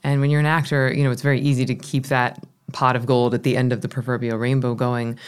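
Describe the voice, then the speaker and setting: A woman in her thirties from Florida, a meditation teacher with a low voice, telling her story in an animated, chatty way. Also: quick pace, smooth. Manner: animated, chatty, self-deprecating. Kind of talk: casual interview storytelling.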